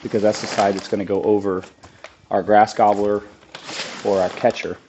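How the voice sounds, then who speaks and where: Low-voiced man getting over a cold, raspy, talks plainly and thinks out loud, clear noise in the background. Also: moderate pace. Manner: plain-spoken, relaxed, thinks aloud with restarts. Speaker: lawn care business owner demonstrating a DIY build. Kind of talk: casual how-to narration while working.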